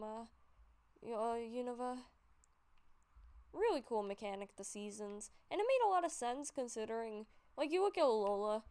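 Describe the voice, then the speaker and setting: A woman's voice: fairly high pitch, gravelly, dark tone, clean tone. A woman with a stuffy nose giving rambling, casual commentary over gameplay, with frequent fillers and self-corrections. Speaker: YouTuber showing a game collection.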